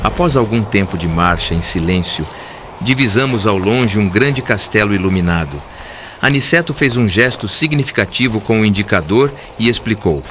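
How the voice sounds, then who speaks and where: A man's voice: lowish voice, expressive, moderate pace, noisy background. A man narrating an audiobook in the first person, in a formal, literary and solemn manner.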